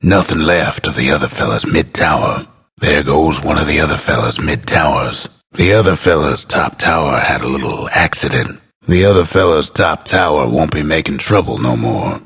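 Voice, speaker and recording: An actor playing a laconic, folksy, world-weary male game announcer with an American accent and a gravelly, husky voice. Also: a bass voice, a bit bright, exaggerated voice. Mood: wry, understated. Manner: folksy, unhurried, understated. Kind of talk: scripted game announcer lines.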